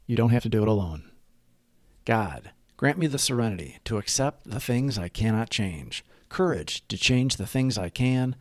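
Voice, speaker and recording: A man reading a daily recovery meditation aloud, with an earnest, measured and prayerful delivery. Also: mid-range voice, dry recording, clean studio sound.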